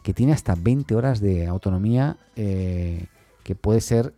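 Mellow, low-voiced man doing tech commentary. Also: expressive, no room sound, soft background music. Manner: halting, thinks aloud, tags phrases with questioning checks. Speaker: host of a tech news podcast. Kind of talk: informal solo tech news commentary.

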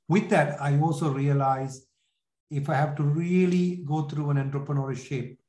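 A man in his sixties with a Sri Lankan accent, telling his life story in an interview in a halting, reflective way. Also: on the high side, fairly even intonation, mellow, roomy sound. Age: 60s. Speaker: podcast guest, entrepreneur.

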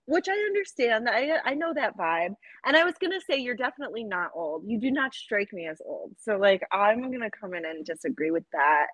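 A woman's voice: fairly high pitch, brisk, hardly any pauses, dry recording, rough recording. A woman, hosting a podcast in a frank, chatty way, full of "like". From United States. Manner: chatty, lots of "like", animated and frank. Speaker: podcast host. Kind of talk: casual chat.

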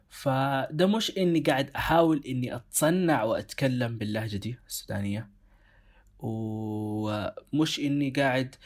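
Fast-talking young Sudanese man. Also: medium pitch. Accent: Sudanese Arabic. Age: young adult. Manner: animated, emphatic storytelling. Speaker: co-host. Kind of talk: casual discussion.

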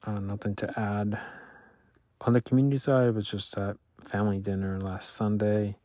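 A man in his thirties whose first language is Spanish, talking through his week in a thoughtful, halting way and often restarting his sentences. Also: fairly low pitch, little pitch movement, dark tone, no echo, studio quality. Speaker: podcaster reflecting on his week. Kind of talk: casual reflective monologue. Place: Louisiana.

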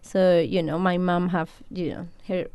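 Colombian woman, spanish first language, talks about her cafe, lots of ums, super clear dry voice. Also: lowish voice, level tone, measured pace, a little mellow, no room sound. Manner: hesitant, many fillers, laughs often. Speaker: café owner. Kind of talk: interview answers.

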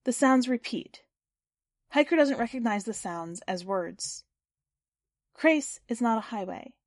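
A woman's voice: a little high, expressive, measured pace, no room sound, studio quality. A woman reading scripted fiction and hosting a story podcast. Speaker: podcast host and story reader.

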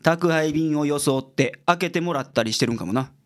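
An actor playing a blunt, gruff male detective in a radio drama, brusque and authoritative, with a Kansai accent. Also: on the high side, normal speed, lightly acted. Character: gruff, blunt male private detective, sharp-witted boss.

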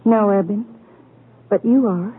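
Husky, gentle frontier woman. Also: medium pitch, a little slow. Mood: sadly reproachful. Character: gentle, reproachful young Western woman, schoolmarm or wife. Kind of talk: old-time radio drama acting. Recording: muffled, tinny 1940s radio broadcast.

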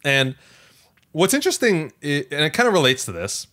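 A man's voice: on the high side, wildly swooping pitch, normal speed, pushed and bright. A man co-hosting a casual tech podcast, talking in an emphatic, conversational way. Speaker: podcast co-host.

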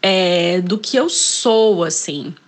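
A woman with a Northeastern Brazilian accent talks as a guest in a relaxed interview. She hesitates and restarts often, and pads her thoughts with small fillers. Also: on the low side, slow. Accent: Northeastern Brazilian Portuguese. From Ceará, Northeast Brazil. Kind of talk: casual interview, reading passages aloud.

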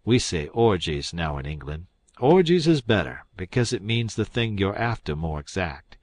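Man playing a pompous old con man, low-voiced. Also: sing-song, normal speed, no room sound. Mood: pompous lecturing. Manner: pompous, pseudo-learned, folksy grammar. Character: smug, long-winded old con man posing as English.

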